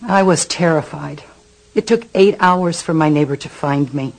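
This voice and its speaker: A woman's voice: deep voice, moderate pace, darkish tone, rough recording. A woman playing an older grandmother in a scripted advert testimonial, speaking earnestly and dramatically about her own ordeal. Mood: shaken, fearful. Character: frightened grandmother recounting a fall, grateful for help.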